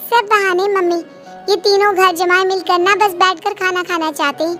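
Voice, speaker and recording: A woman's voice: very high voice, fairly even intonation, moderate pace. A woman voicing a complaining, teasing young adult daughter in a cartoon moral story. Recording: music behind the voice.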